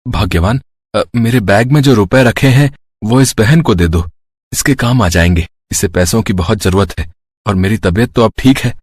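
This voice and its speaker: Man playing kindly rich gentleman, husky. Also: on the low side, normal speed, no echo. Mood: kind, benevolent. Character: kindly, generous rich man, secretly a benevolent god. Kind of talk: Hindi cartoon story acting.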